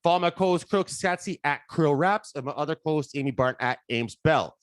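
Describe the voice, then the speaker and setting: A man's voice: a little high, animated, brisk, no echo, clean studio sound. A Canadian man hosting a podcast, introducing the show and asking questions in a casual, friendly way. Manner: casual, friendly, loose with fillers. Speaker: podcast host.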